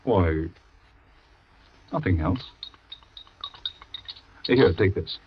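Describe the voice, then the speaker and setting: A scheming, poisoning husband. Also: male voice, sits low, animated, drawn-out words, slight background noise, heavily acted. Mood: falsely gentle. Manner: falsely gentle, then impatient and bullying. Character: scheming husband pushing poison on his wife. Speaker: radio drama actor. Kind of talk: old-time radio acting. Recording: dry, dull old radio recording.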